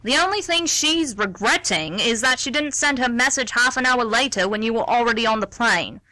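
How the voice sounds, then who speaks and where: A woman's voice: fairly high pitch, lively intonation, moderate pace, sharp tone, dry recording, rough recording. A woman acting a sardonic, meddling older woman in a radio play, with a dry, blunt, wry delivery. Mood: scornful. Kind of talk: stage-play dialogue read as radio theatre.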